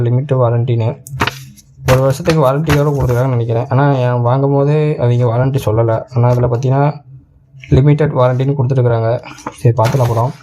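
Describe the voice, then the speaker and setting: Guy w doing a casual gadget review, talks fast, some background noise. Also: medium pitch. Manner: colloquial, repetitive explaining, addressing viewers as friends. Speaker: host of a tech channel. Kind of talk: casual product review and unboxing.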